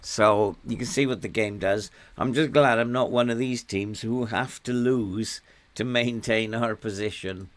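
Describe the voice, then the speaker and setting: An older man from England with a hoarse, failing voice, commenting casually on a football management game and muttering to himself as he plays. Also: fairly low pitch, steady tone, unhurried. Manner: muttering, talking to himself while playing. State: losing his voice, hoarse. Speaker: gaming YouTuber.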